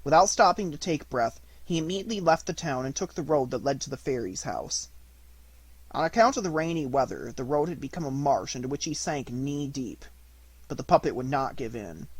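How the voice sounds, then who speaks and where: A man reading a storybook as scripted audiobook narration, in deliberate, measured phrases. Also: mid-range voice, breathy edge, dry recording, poor audio. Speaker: audiobook reader.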